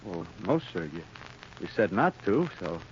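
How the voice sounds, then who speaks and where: An American actor playing an eager, naive and deferential electrician in a 1930s radio drama, chatty and a little hesitant. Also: male voice, deep voice, very animated, moderate pace. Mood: helpful, deferential. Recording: muffled 1930s radio recording, faint noise.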